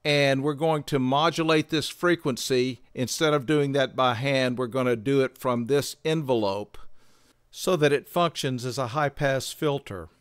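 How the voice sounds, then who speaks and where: An older man giving a measured, methodical instructional demonstration as a tutorial presenter. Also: mid-range voice, clear, resonant tone, dry recording, clean studio sound.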